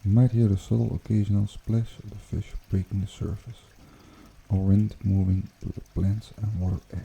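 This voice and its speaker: A man hosting an ASMR guided meditation in a hushed, unhurried, soothing way with gentle pauses. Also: lowish voice, little pitch movement, gravelly, breathy edge, low-quality recording. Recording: water trickling behind the voice. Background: pond water bubbling and trickling.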